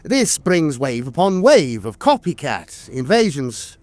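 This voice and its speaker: A man reading scripted fantasy war lore as a narrator, in a theatrical, deliberate manner with pauses and hesitant filler sounds. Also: fairly high pitch, a little mellow. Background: music.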